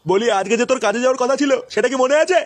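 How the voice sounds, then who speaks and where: A man plays a scolding cartoon dad with little patience. He nags crudely in a high, fast male voice. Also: a little hiss or hum. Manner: nagging, crude. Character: gruffly scolding, foul-mouthed, impatient cartoon father. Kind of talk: crude comic cartoon dialogue.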